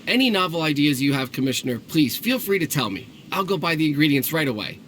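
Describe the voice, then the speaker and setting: A man's voice: fairly high pitch, very animated, fast, fairly bright tone, slight background noise. An actor playing a young food stall owner in a video game, friendly, earnest and eager to please as he explains things. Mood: earnest, obliging.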